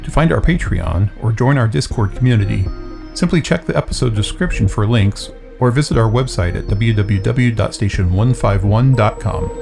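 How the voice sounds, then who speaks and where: A male announcer with a low, creaky voice reads scripted notices. Clear music plays behind him throughout. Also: moderate pace.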